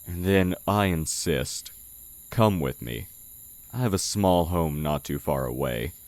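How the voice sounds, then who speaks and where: Sly male demon in blacksmith's guise, acted by a man for audio roleplay: very low, unhurried, condescending, crickets behind. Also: lively intonation, a little mellow, no echo, heavily acted. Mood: coaxing, guarded. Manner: slow, measured, condescending. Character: sly male oni demon posing as a humble village blacksmith. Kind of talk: audio roleplay, intimate character acting. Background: night forest ambience with crickets.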